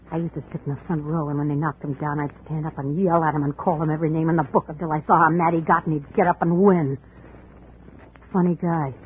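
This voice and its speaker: Tough, bitter widow played by an actress, old radio. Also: female voice, low-pitched, lightly acted. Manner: tough, blunt, slangy. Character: hard-boiled, bitter ex-convict widow of a boxer. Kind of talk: 1950s radio drama acting. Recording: muffled, noisy old radio recording.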